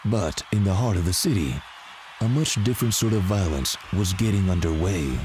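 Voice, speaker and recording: A man's voice: low voice, animated, normal speed, darkish tone, slight background noise. A man playing a grand storyteller, delivering dramatic scripted narration for an audio drama in a portentous manner. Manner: portentous, ominous. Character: grave, grandiose male storyteller narrator of pulp adventure.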